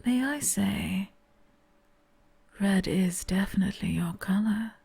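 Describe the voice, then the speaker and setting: A woman playing a polite, sly servant maid in an ASMR audio drama, soft-spoken and often whispering. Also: medium pitch, slow pace, gravelly, breathy edge, heavily acted.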